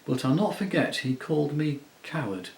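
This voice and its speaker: A man's voice: fairly high pitch, lively intonation, normal speed, vocal fry, a little mellow, pitched above the performer's own voice. A proud nobleman speaks slyly, nursing a grudge, as a man voices him for a storybook. The voice rings with a roomy echo. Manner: sly, resentful. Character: proud, resentful noble lord.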